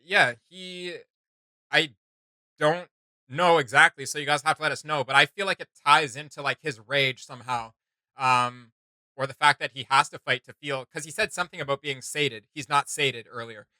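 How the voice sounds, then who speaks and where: Bright-voiced man rambling through a casual reaction. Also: a little high, normal speed, no room sound. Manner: rambling, thinking aloud. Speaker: reaction video host.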